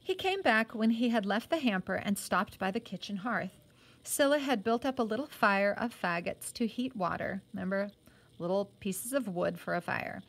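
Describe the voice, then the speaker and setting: A woman's voice: medium pitch, lively intonation, normal speed. A woman reading a novel aloud as a teacher would, with short explanatory asides.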